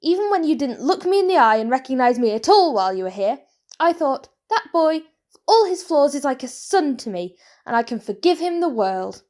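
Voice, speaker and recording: A proud, scolding auntie with a murderous grudge acted by a woman speaks in a high voice that swings freely with indignation. She is theatrical and wounded, made for a comic whodunnit. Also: sing-song, fairly quick, darkish tone. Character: scolding, wounded aunt turned murderer, proud of her cooking. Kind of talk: comic audio drama acting.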